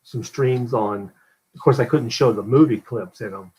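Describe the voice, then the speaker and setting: Husky-voiced man chatting casually. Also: mid-range voice, normal speed, a little mellow, rough recording. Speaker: guest on a call. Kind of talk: casual chat.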